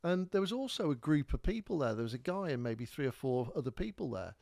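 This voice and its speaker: A man's voice: on the high side, sing-song, fast, creaky, a little mellow, dry recording, studio quality. A man from North Wales, telling a rambling, casual story as a podcast host while pointing things out on maps. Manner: chatty, rambling, with many fillers and restarts.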